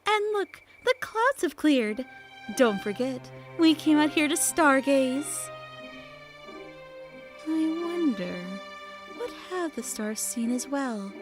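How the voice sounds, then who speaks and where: A woman playing a mysterious storyteller who tells eerie tales to children in a theatrical, hushed, suspenseful way in an audio play. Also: sits high, very animated, takes it slowly, music in the background, full character voice. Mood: musing, mysterious.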